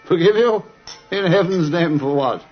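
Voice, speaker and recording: An actor playing a detective's loyal gentleman companion in an old radio drama, hearty, with a British accent. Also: a little high, lively intonation, normal speed, faint music behind, lightly acted. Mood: mild surprise, affection. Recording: muffled vintage radio broadcast.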